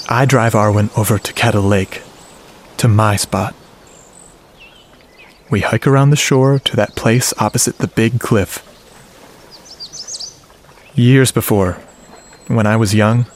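A man's voice: on the low side, a little hiss or hum, natural voice. An actor playing a lovestruck, self-doubting audio geek, narrating his romance in short, confiding phrases for a radio drama. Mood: wistful, hushed.

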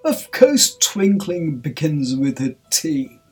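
A woman voicing a short-tempered, blustering male king in a storybook, sharp and imperious as he commands. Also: high-pitched, sing-song, slow, faint music behind, voice pushed up, exaggerated voice. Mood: angry, sharp.

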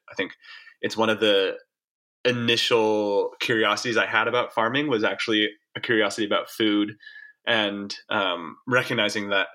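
Low-voiced rambling man from Hong Kong. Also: bright, forceful tone. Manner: rambling, self-interrupting, many fillers. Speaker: interview guest, farmer. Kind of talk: casual interview chat.

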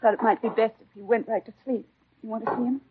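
An actress playing a polite, grieving young widow in a 1950s American radio drama, earnest and genteel. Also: female voice, on the high side, steady tone, fast talker, lightly acted. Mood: subdued grief. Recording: narrow-band, noisy 1950s radio recording.

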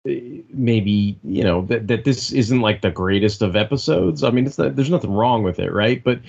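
A man's voice: on the low side, expressive, moderate pace, creaky, darkish tone, husky. A man co-hosting a casual podcast chat, rambling with many restarts and the odd swear. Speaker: podcast co-host. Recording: dull lo-fi audio.

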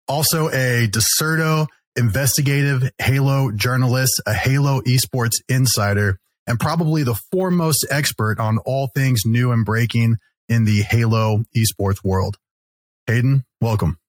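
A man co-hosts a podcast in a Texan accent, introducing his guest in a friendly, measured way. His voice sits at a middle pitch, recorded close and dry with no room sound. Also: dry recording. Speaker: podcast co-host, attorney. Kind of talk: podcast interview.

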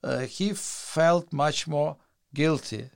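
Creaky-voiced man, hesitant and deliberate. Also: a little high. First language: Russian. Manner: hesitant, deliberate phrasing with repeated words. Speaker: writer being interviewed. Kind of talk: conversational interview answers.

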